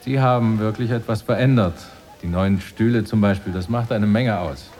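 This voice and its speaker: Low-voiced, insinuating male stranger played by an actor. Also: a little mellow, natural voice. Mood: polite, insinuating. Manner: formal, deliberate. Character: polite, insinuating stranger seeking out a man. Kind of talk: German radio drama dialogue.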